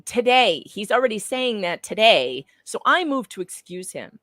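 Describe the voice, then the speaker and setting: A woman's voice: mid-range voice, big pitch swings, moderate pace, a bit bright, no echo. A woman reading transcript lines aloud casually, with playful, teasing conversational delivery. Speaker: guest co-reader. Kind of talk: casual dramatic reading of court transcript with banter.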